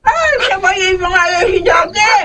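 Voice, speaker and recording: Horror drama child voiced by a woman: piercingly high, calling out, distant, noisy recording. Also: animated, a little slow. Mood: complaining, calling. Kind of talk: horror audio drama acting. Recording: distant mic with loud noise.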